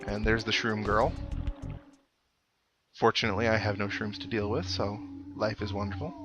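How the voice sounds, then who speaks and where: A man's voice: on the low side, flat delivery, normal speed. A man giving casual, chatty video game commentary with wry asides, as the host of a let's play. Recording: game music playing behind the voice. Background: video game music.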